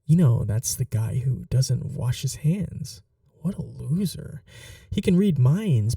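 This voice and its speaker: Chatty, vain male supervillain, played by a man, smitten and casually menacing, unhurried and gravelly, ASMR roleplay. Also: mid-range voice, mellow, no room sound, clean studio sound, distant mic, exaggerated voice. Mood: attentive, indignant. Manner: flirtatious, casually menacing, musing aloud. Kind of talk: ASMR roleplay monologue to the listener.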